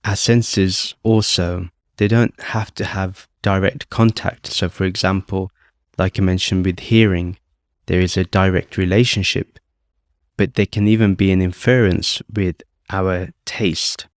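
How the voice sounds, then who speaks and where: A man calmly leading a guided meditation as host, speaking off the cuff in short phrases with long pauses. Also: deep voice, no echo, studio quality. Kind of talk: guided meditation, unscripted instruction.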